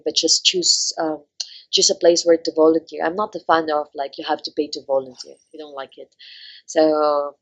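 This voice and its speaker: A woman's voice: low-pitched, slightly rough, highs cut off. A woman, English not her first language, chatting as a travel blogger guest in an interview, with many fillers and frequent laughs. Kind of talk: casual interview chat.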